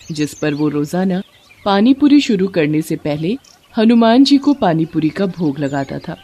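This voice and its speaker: A woman's voice: lowish voice, warm tone, a little hiss or hum. A woman narrating a scripted moral story for children as a storyteller.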